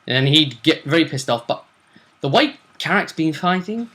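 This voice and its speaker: A man's voice: on the high side, expressive, normal speed, sharp tone. A man hosting a tabletop role-play show, casually talking through match-ups and often rephrasing as he explains.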